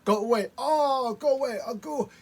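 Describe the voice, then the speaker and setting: A man voicing fearful spirits of the night in a folk tale, high, frantic and repetitive. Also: moderate pace, vocal fry, breathy edge, full character voice. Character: frightened, shooing spirits of the night.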